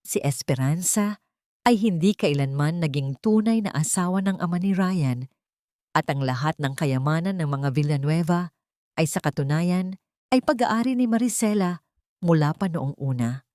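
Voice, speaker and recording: Female story narrator, Filipino accent, low-pitched, dramatic scripted read, very dry recording. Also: expressive, normal speed, mellow, no echo.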